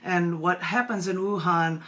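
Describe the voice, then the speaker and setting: A woman's voice: lowish voice, fairly even intonation, a little slow, noisy background. An American woman hosting a poetry open mic over a video call, chatty and given to rambling asides. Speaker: open mic host and poet. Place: at home, indoors. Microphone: video call microphone.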